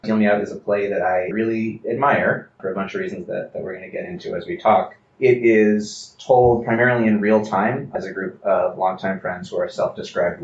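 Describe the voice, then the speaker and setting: A man with a low voice co-hosts a podcast, talking in an articulate, considered manner. The recording is roomy and slightly muffled, with faint background noise. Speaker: podcast co-host. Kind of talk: conversational introduction.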